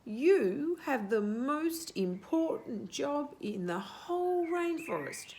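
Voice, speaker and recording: A woman voicing a wise, solemn female owl in a children's storybook, speaking slowly and deliberately. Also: high-pitched, sing-song, darkish tone, a little hiss or hum, pitched above the performer's own voice, heavily acted. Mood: wise, reassuring. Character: wise old-sounding female boobook owl, measured and solemn.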